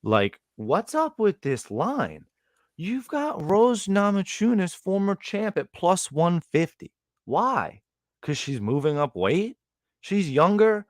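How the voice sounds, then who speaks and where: A man co-hosting a podcast, breaking down fight betting lines in an animated, slangy way. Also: sits high, slow pace, no echo, clean studio sound. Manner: animated, slangy, calls people dog. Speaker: podcast co-host, betting analyst. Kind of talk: casual sports betting chat.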